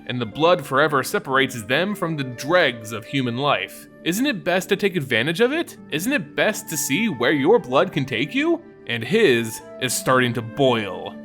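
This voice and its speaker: A man with a slightly high voice narrates fantasy lore as host. His delivery is theatrical and swings widely in pitch, with soft music underneath. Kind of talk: dramatic reading of fantasy lore. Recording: music behind the voice.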